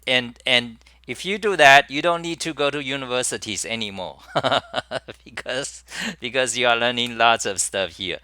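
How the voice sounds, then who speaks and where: Rambling man, bright close voice. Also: medium pitch, clear, resonant tone, no echo. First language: Chinese. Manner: rambling with many restarts and fillers. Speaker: livestream host. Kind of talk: casual live-stream talk to chat.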